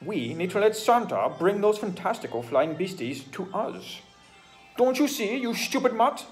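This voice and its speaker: A man voicing a posh, greedy, evil hunter in a bedtime storybook, speaking with loud, scornful glee. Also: high-pitched, big pitch swings, normal speed, faint music behind, pitched above the performer's own voice, poor audio. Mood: gloating. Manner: gloating, scornful, loud villain speech.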